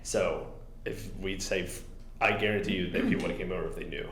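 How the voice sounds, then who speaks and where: A man on a town committee, eager and rambling, who says he has a loud voice. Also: low-pitched, steady tone, fairly quick. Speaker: committee member. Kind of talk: public meeting discussion. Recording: echoey meeting room, faint noise.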